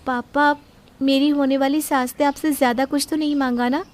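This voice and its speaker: A woman voicing an earnest twenty-five-year-old bride-to-be in an audio story, gentle, questioning and politely firm. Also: high-pitched, steady tone, normal speed, pitched above the performer's own voice, natural voice. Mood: concerned. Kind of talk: acted Hindi audio story.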